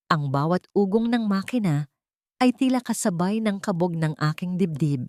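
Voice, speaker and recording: Low, dark-voiced woman narrating drama. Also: no room sound, clean studio sound. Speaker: audio story narrator. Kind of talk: dramatic first-person scripted narration.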